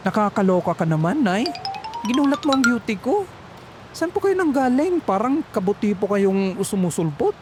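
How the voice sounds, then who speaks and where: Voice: mid-range voice, big pitch swings, moderate pace, over music, close to an everyday voice. A performer playing a sassy, flamboyant call center agent who complains in dramatic slang. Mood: startled, sassy. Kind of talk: horror story dialogue.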